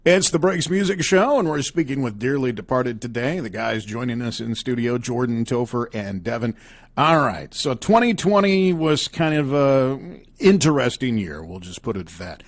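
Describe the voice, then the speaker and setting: A man's voice: mid-range voice, animated, normal speed. Male radio music show host. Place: studio.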